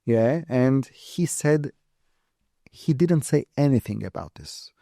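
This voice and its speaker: A man's voice: medium pitch, animated, takes it slowly, gravelly, dark tone, no echo, studio quality. A man from Turkey with a Turkish accent, an expert guest giving long analytical answers in a podcast interview, with many fillers. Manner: talks at length with many uh and you know fillers.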